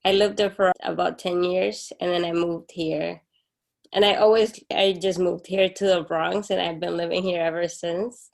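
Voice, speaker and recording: A young woman from Honduras, talking as a guest in a casual interview. Her voice is a bit low with a strong gravelly fry, and she speaks openly and earnestly. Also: little pitch movement, moderate pace, rough recording. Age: young adult.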